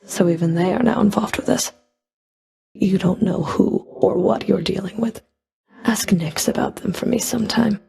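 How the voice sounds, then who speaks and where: A woman playing a cold, scornful Fury of the underworld in a video game, taunting with deliberate, contemptuous menace and a breathy edge. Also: mid-range voice, mellow, full character voice. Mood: cold, sardonic. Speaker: video game villain voice actor. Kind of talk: video game combat voice lines.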